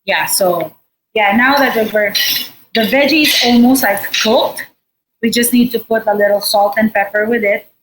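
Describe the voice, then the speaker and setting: A woman chef with a Filipino accent giving a casual cooking demonstration in an informal, halting, explanatory way. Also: on the high side, a bit bright, low-quality recording. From Philippines. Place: indoor restaurant space behind a counter. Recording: noticeable background noise and room ambience.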